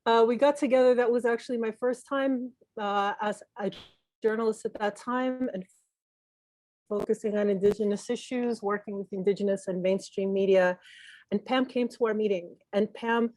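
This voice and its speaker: An earnest woman from Peru speaks into a video call microphone, reflective and unhurried. Her voice sits at an ordinary pitch. The sound is dry and close. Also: fairly even intonation, darkish tone, no room sound, poor audio. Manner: earnest, reflective, with many pauses and restarts. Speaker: journalist and consultant speaking at a memorial. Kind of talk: spoken tribute on a video call.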